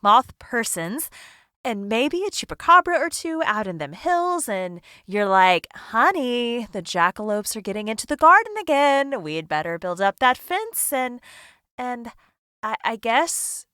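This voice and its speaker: An excitable, curious listener in an audio drama. Her bright voice swings widely in pitch, recorded close and dry. She rambles playfully with wry skepticism, sounding natural and conversational. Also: a little slow, no echo, exaggerated voice. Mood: puzzled, rambling.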